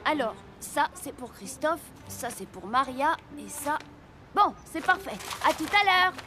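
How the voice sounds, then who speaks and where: A young boy hero from a children's Christmas cartoon. He sounds high-pitched, kind and earnest, with a gentle firmness. There is music behind him. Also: animated, a little slow. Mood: kind, careful. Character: kind, responsible young boy, apprentice Santa. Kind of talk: animated children's series dub.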